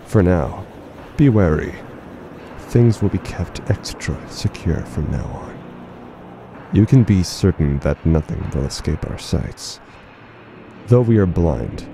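A man acts out a blinded, unhinged announcer from a dystopian horror drama. His voice is very low and slow, with halting, theatrical formality and a brooding, wounded edge. A clear rumbling noise runs behind him. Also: exaggerated voice. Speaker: voice actor playing a radio announcer. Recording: noisy rumbling ambient bed under the voice.

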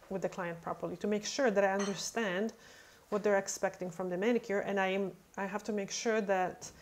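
A woman in her thirties, a nail technician whose first language is not English, talking casually into a webcam and acting out chats with clients, with many fillers. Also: mid-range voice. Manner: chatty, acts out client dialogue, many fillers. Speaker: nail technician answering questions. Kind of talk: casual explanatory talk. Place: indoor room, webcam over screen.

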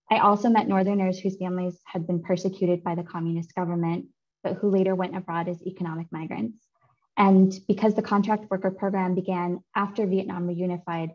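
A woman in her thirties giving a seminar talk on her research over a video call, in a clear, measured academic delivery. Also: lowish voice, darkish tone. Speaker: seminar speaker, author presenting research. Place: home room. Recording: muffled video call audio.

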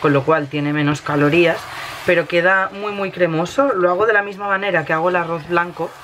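A woman in her thirties with a Spain Spanish accent, slightly hoarse from a cold, casually narrating a recipe as she cooks in her home kitchen. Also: on the low side, fairly even intonation, brisk, a bit bright. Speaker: home cooking vlogger. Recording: noisy kitchen with sizzling pan. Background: frying and stirring sounds.

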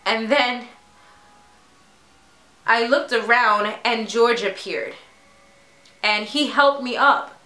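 A woman acts out a grieving mother in a dramatic monologue, defensive and pleading. Her voice is high and slow-paced, halting with emotion, in a roomy, echoey space. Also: sharp tone. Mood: shaken. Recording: echoey room.

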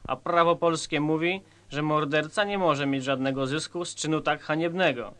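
A man's voice: a little high, fairly even intonation, moderate pace, pushed and bright. A man acting a stern, smug police commissioner in a satirical radio play, curt and sardonic. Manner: curt, sardonic, self-assured.

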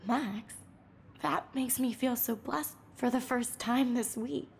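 Fragile, high, creaky young woman. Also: fairly even intonation, slow, darkish tone, a little hiss or hum. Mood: tearfully grateful. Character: fragile, gentle young female student. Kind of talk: narrative video game acting.

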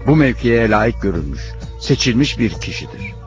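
A man's voice: mid-range voice, animated, moderate pace, soft background music, lightly acted. A man voicing a prophet's loyal, pious grown son in a religious cartoon, speaking earnestly and respectfully but firmly. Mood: reproachful. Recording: dull lo-fi cartoon soundtrack.

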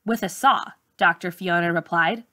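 A woman reading a memoir aloud like an audiobook narrator, with measured, clearly phrased delivery. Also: fairly low pitch, pushed and bright, dry recording. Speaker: teacher reading a book aloud.

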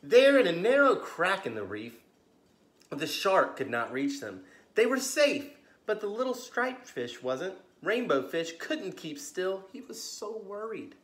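An American man in his thirties hosting a children's story time, reading aloud expressively with gentle asides to young listeners. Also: high voice, very animated, a little slow. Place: living room, on a couch indoors. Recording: roomy, echoey home recording.

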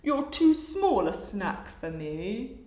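A woman voicing a greedy, boastful male crocodile in a children's storybook, with loud, drawn-out, hungry declaiming. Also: medium pitch, big pitch swings. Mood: sly, dismissive. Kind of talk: expressive children's storybook read-aloud. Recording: echoey room.

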